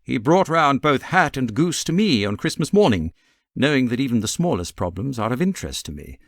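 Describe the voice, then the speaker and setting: Guy doing a clever victorian detective, british, precise n amused, swoopy pitch, audiobook, dry recording. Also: sing-song, brisk, dry recording. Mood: storytelling. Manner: precise, expository, theatrical. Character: brilliant, self-assured Victorian gentleman detective, amused and precise. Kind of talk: audiobook character reading.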